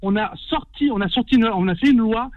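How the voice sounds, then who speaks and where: Guy calling a radio show, high quick voice, kinda muffled dry line, keeps saying sorry but pushes his point. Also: dry recording. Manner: apologetic but firm, repeats words. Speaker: radio caller. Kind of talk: call-in opinion.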